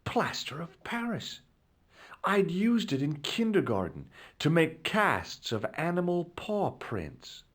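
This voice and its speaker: An actor playing an adult male adventurer, narrating his own actions in a measured, dry way. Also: a little high, sing-song, exaggerated voice. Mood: dry, matter-of-fact. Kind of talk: game character narration.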